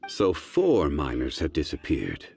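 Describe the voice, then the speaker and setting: Composed, analytical game gentleman, low-voiced, formal, with lively rises and falls. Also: gravelly, soft background music, heavily acted. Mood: composed, pondering. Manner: measured, formal, deliberate, analytical. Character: calm, learned, dignified gentleman investigator. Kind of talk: video game voice acting.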